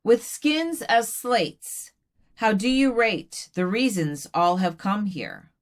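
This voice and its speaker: A woman voicing an earnest doll poet, reciting poems in a measured, deliberate way. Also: medium pitch, lightly acted. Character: earnest female doll poet giving a reading. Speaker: poet reading own work. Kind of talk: poetry recitation.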